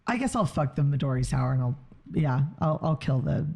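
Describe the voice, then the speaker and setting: A man's voice: fairly high pitch, lively intonation, vocal fry, mellow. Joking, profane man in his thirties. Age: 30s. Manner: profane, joking, quick to laugh. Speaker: podcast co-host. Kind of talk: casual chat.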